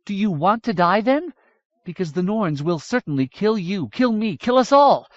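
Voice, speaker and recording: A man's voice: sits high, sing-song, normal speed, no room sound, pitched above the performer's own voice. A man voicing a fierce young princess, raised and urgent. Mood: accusing. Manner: urgent, pleading and scolding. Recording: dull dry audiobook recording.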